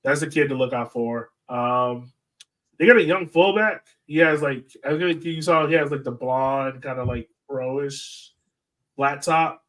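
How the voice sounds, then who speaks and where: A man's voice: mid-range voice, unhurried, a bit bright. American man chatting, off the mic. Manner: informal, peppers talk with "like". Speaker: sports podcast co-host. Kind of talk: casual chat. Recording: voice distant from the microphone.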